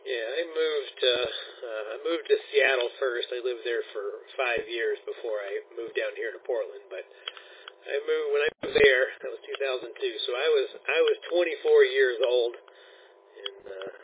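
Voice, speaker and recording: A man in his forties chatting casually over amateur radio, relaxed and rambling with frequent restarts. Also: mid-range voice, unhurried. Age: 40s. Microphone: radio transceiver mic. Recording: narrow radio band, distant and noisy.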